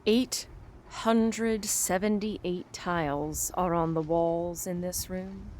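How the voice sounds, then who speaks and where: A woman playing an impatient soul kept waiting too long, measured and dryly exasperated in an audio drama monologue. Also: on the low side, a little mellow, slight background noise, voice pushed down. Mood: weary, restrained.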